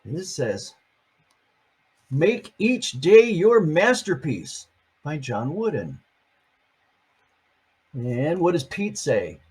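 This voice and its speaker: Slow, husky-voiced older man reading aloud. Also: fairly high pitch, big pitch swings. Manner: chatty asides between pages, gentle and playful. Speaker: grandfather reading to his grandchildren. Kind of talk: reading a picture book aloud.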